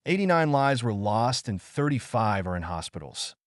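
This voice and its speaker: A man's voice: mid-range voice, big pitch swings, moderate pace, dry recording, clean studio sound. A man giving a scripted narration, reading out old newspaper reports.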